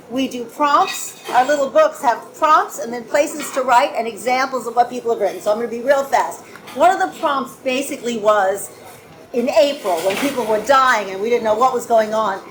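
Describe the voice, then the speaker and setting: A woman from California reading her poetry live as a guest, with a brisk, chatty manner between lyrical lines. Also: fairly high pitch, slight background noise, low-quality recording. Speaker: guest poet. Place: bar venue, live audience.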